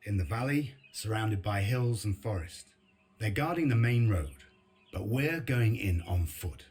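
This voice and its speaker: A man's voice: fairly low pitch, lively intonation, measured pace, a little mellow. A man playing a weary, battle-hardened middle-aged resistance leader in a video game, terse and dryly sardonic. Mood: commanding, dry. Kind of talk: video game cutscene acting and narration. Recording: very noisy, engine and ambience behind.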